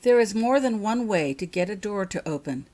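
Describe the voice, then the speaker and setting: A woman voicing a calm, dreamy witch professor in a storybook reading, serene and unhurried. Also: mid-range voice, natural voice.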